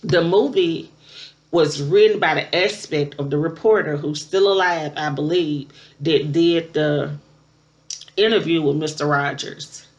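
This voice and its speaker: A woman's voice: sits low, measured pace, highs cut off. A woman aged thirty-nine chatting casually and unscripted to her viewers as a vlogger, with emphatic, conversational phrasing. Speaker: vlogger reviewing a movie. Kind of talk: casual unscripted chat to camera.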